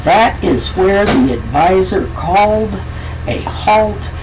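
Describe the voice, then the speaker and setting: A woman author reads her own novel aloud, taking her time over each phrase. Her pitch sits mid-range, and a steady hiss of background noise runs under her voice throughout. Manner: slow, measured reading. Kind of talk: reading a novel chapter aloud.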